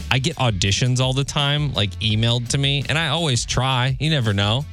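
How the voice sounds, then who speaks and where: A man's voice: mid-range voice, moderate pace, fairly bright tone, faint music behind. A man with an American accent chatting casually as a radio host.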